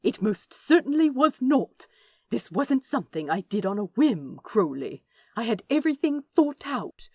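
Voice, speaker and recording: Woman playing a prim, indignant male angel, animated. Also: fairly high pitch, a little slow, husky, no echo, clean studio sound, voice pushed up, full character voice. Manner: scoffing, huffy, insistent, genteel wording. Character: prim, indignant male angel, fussy and stubbornly self-righteous. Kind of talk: dramatic fan fiction reading.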